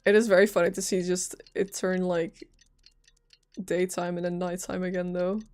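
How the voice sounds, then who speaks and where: A woman's voice: lowish voice, flat delivery, normal speed, darkish tone. A woman livestreaming a game with casual, reactive commentary, informally musing aloud as she plays. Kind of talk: casual live gameplay commentary.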